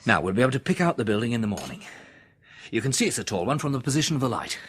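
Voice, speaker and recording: An actor playing a steady, protective man surviving an apocalypse in a radio drama, measured and reassuring. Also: medium pitch, a little hiss or hum, lightly acted. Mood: urgent but steady.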